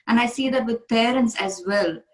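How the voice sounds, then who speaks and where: A woman's voice: fairly high pitch, normal speed. Woman from India, host and coach; earnest, emphatic interviewer; roomy, echoey sound. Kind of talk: casual interview conversation.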